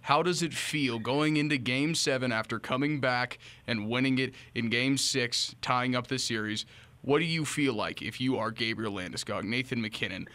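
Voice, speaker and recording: A man's voice: mid-range voice, normal speed, pushed and bright. A young man, hosting a sports podcast interview close on a studio microphone. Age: young adult. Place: small indoor radio studio. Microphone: studio microphone on boom arm, close.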